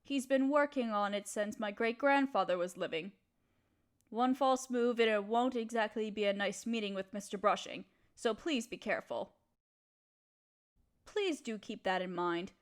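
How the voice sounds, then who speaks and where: A woman playing a kind, proper young noble mistress in an intimate audio roleplay, speaking in formal, gently hesitant phrases to her listener. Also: mid-range voice, moderate pace, natural voice. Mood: fond, instructive.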